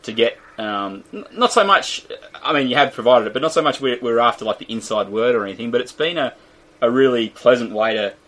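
A man's voice: mid-range voice, expressive, brisk, fairly bright tone. An Australian man co-hosting a casual podcast, stumbling and repeating words as he talks. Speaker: podcast co-host.

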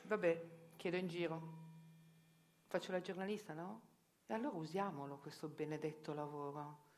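A woman's voice: sits low, normal speed, slight background noise. Ironic Italian-speaking woman, theatrical monologue. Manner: ironic, self-questioning, rising to urgent outbursts. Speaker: journalist and stage performer. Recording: radio broadcast with faint music. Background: live music.

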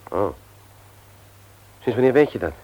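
A man's voice: fairly low pitch, unhurried, muffled recording. An actor playing a genial, curious married man and caring friend, talking colloquially in a radio drama. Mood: surprised, concerned. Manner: colloquial, animated. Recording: noisy old radio play recording.

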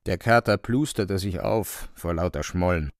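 A man narrating a literary radio play with a creaky voice. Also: low voice, unhurried, no echo, clean studio sound.

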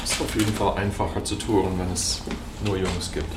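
A man's voice: low voice, little pitch movement, moderate pace, reverberant, heavy background noise. A man in casual, conversational talk. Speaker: singer joining a band. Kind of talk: casual chat.

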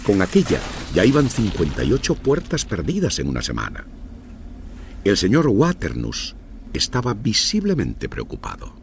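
Deep, very expressive man narrating a story. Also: moderate pace, vocal fry, a little hiss or hum. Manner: dramatic storytelling. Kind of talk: scripted children's story narration.

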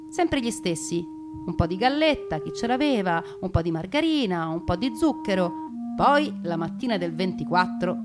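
Woman, theatrical storyteller, slow deliberate delivery, dramatic pauses, clear music behind. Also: on the low side. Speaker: storyteller, stage narrator. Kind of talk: theatrical narration of a family war memoir.

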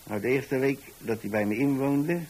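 Man playing a husky, rambling old landlord. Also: medium pitch, moderate pace, a little mellow, natural voice, poor audio. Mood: chatty, musing. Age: older. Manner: folksy, hesitant, repeats himself. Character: rambling, tolerant retired landlord telling of his lodgers. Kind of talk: radio drama monologue, colloquial storytelling.

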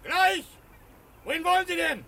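Shrill, scruffy ship's crewman. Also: expressive, normal speed, sharp tone, no room sound, heavily acted. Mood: brisk hailing. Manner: curt, clipped calls. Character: scruffy scarecrow-like ship's crewman, brisk recruiter. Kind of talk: German radio play acting.

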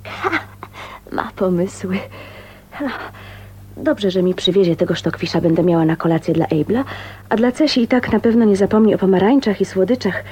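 An actress playing a kind, spirited, gently defiant young unmarried woman in a radio drama, earnest and tender. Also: mid-range voice, moderate pace, pauses often, husky, close to an everyday voice. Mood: musing. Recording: faintly noisy old radio recording.

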